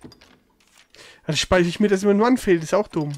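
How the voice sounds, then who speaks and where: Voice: mid-range voice, fairly quick, dry recording. A gamer giving casual let's play commentary, grumbling and swearing in a slangy way.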